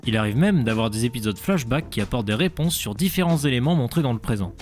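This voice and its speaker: A man's voice: medium pitch, animated, fast talker. A young adult man delivering scripted narration as the narrator of a cartoon review show. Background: background music.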